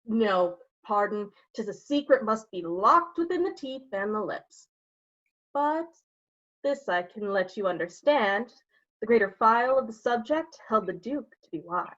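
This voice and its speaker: A woman acting a bawdy, slanderous gentleman rogue in a staged Shakespeare reading, with a cheeky, insinuating, theatrical delivery. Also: fairly high pitch, lively intonation, a little slow, dull audio. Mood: conspiratorial, slanderous.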